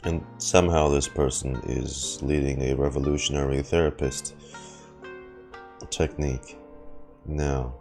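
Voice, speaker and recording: A man giving casual live reaction commentary as a game streamer, playful and a little halting. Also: a bass voice, fairly even intonation, creaky, a little mellow. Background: game music.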